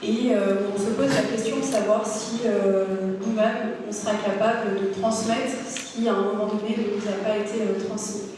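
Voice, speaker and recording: Halting woman novelist in an echoey hall. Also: medium pitch, steady tone, darkish tone, recorded from a distance, faint music behind. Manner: thoughtful, halting with many "euh" fillers. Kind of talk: panel discussion. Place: large hall at a book fair. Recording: echoey hall, mic from afar.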